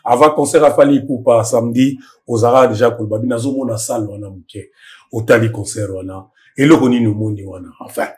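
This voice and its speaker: A man's voice: medium pitch, fairly even intonation, a little fast. A man hosting a casual studio discussion, thanking guests and passing the talk along. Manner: short agreeing interjections. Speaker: host.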